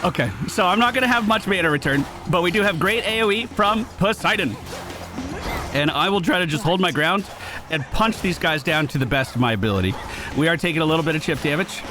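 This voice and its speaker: A man giving casual gameplay commentary in an excitable way, given to swearing when thrilled. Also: a little high, brisk. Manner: excitable, profane exclamations. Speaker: gaming video host. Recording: game music behind voice. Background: video game music.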